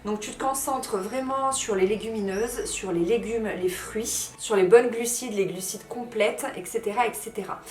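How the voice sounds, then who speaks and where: A woman's voice: on the high side, normal speed, few pauses, darkish tone, slight background noise. Thirties woman vlogging at home, chatty, lots of ums, echoey room. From France. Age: 30s. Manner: chatty, frequent fillers and self-corrections. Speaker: lifestyle vlogger. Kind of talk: casual talk to camera. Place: house under renovation, indoors.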